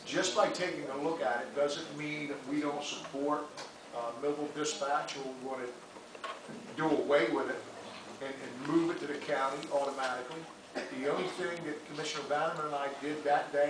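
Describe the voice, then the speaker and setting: A man serving as mayor gives measured, explanatory remarks at a public meeting. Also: fairly high pitch. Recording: very echoey room, distant mic, noisy.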